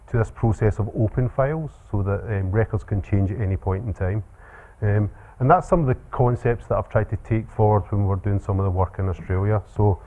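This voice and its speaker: A man with a Scottish accent giving a relaxed academic seminar talk with frequent ums and uhs. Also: fairly low pitch. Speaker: lecturer. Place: seminar room. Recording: tinny phone-like sound.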